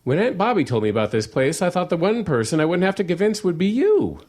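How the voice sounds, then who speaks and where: A man's voice: high voice, wildly swooping pitch, fast talker, a little mellow, full character voice. A man playing a hapless, nervously upbeat father in an audio drama, hesitant and placating. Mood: cajoling, upbeat. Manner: hesitant, stammering, placating, salesman-like cheer.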